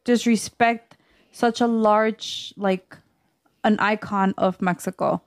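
A woman's voice: mid-range voice, fairly even intonation, slow, no room sound, studio quality. A woman co-hosting a casual group chat, speaking informally in loose conversational phrases. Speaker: podcast co-host.

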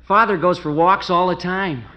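A young actor playing a mild-mannered, earnest fiancé in a 1940s radio comedy. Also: male voice, high-pitched, normal speed, bright, forceful tone. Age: young adult. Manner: earnest, soothing.

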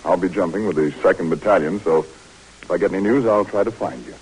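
A man's voice: sits low, a little fast, darkish tone, breathy edge, poor audio. An actor playing a firm, fatherly military superior in a vintage radio drama, earnest and reassuring. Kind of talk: 1940s-50s radio drama acting.

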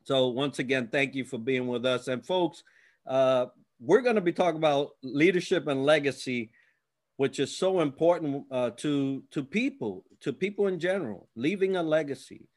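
A man's voice: mid-range voice, moderate pace. A man hosting an interview podcast, speaking in a deferential, somewhat formal way to his guest. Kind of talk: interview with scripted intro.

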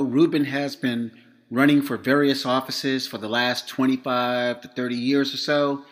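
A middle-aged man from Florida, slightly hoarse, hosting his podcast in a measured monologue read from notes with some fillers. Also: mid-range voice, a bit bright. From Florida, USA. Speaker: podcast host.

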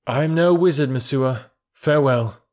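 A man's voice: medium pitch, normal speed, a little mellow, pitched above the performer's own voice, close to an everyday voice. A man voicing a proud, scornful herdsboy raised by wolves in a storybook reading, assured and archaic in wording. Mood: calm, defiant.

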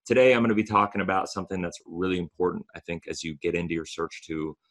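A man hosting a solo podcast, talking in an earnest coaching tone with frequent restarts, hoarse from a cold. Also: low-pitched, steady tone, quick pace, a bit bright. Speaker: podcast host.

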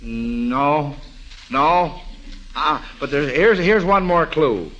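Casting: American male quiz host for 1940s radio, sharp forceful tone, slightly raised pitch. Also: expressive, extremely slow, muffled recording.

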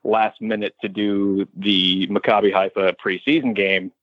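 A man's voice: lowish voice, fairly even intonation, measured pace, dry recording, muffled recording. An American man in his thirties, a sports radio announcer, talking conversationally and at length as an interview guest. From Westchester County, New York, United States. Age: 30s.

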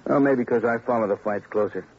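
A man's voice: medium pitch, brisk, a little mellow, lightly acted. An actor playing a plain-spoken, informal city policeman at a crime scene in an old radio crime drama. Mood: matter-of-fact, musing. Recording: dull, faintly noisy old radio recording.

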